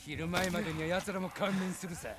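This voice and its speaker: An actor playing a confident Japanese wartime soldier in a cinematic war game. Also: male voice, sits high, steady tone, racing, background noise, close to an everyday voice. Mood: assured. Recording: music behind dialogue.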